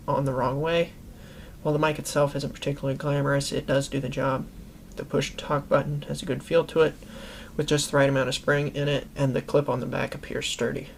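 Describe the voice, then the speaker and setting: A man's voice: fairly high pitch, creaky, slight background noise. A man hosting a how-to video, narrating an instructional product review in a methodical, plainly explanatory way. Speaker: host of a how-to video series.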